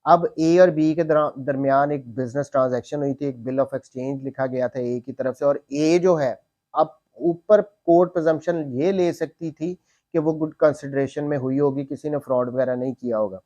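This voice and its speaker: A man's voice: medium pitch, steady tone, moderate pace, dark tone, dry recording, highs cut off. A man with a Pakistani accent lecturing on law, reading out formal text and explaining it step by step with repeated, rephrased points.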